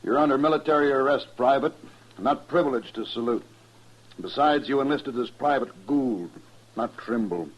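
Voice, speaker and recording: An American man playing a by-the-book frontier army major in an old-time radio western, formal and clipped, with a husky voice. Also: medium pitch, normal speed, dull audio, natural voice. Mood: commanding. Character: brisk, by-the-book cavalry major, military officer.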